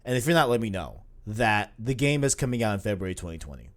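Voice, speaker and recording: Animated young man rambling on a podcast. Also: on the low side, fast. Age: 20s. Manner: rambling, animated, repeats "you know what I'm saying". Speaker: podcast host. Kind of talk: casual podcast chat.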